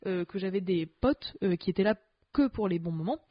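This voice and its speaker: A young woman co-hosts a podcast in a relaxed, colloquial way, animated and full of fillers. Her voice sits at an ordinary pitch. The recording is dull and lo-fi, with a very dry, close sound. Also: brisk, dry recording. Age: young adult. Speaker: podcast co-host. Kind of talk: casual chat.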